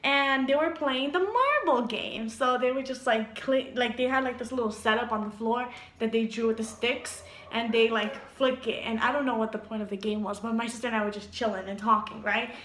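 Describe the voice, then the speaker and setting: A young woman telling a storytime tale in a vlog, animated and giggly, acting out voices as she goes. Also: fairly high pitch, a little fast, hardly any pauses, a little hiss or hum. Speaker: vlogger. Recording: echoey room.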